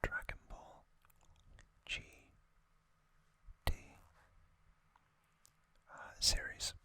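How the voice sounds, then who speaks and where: An ASMR host narrating in a breathy, unvoiced whisper, hushed and deliberate, with frequent pauses. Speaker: host of an ASMR trivia channel.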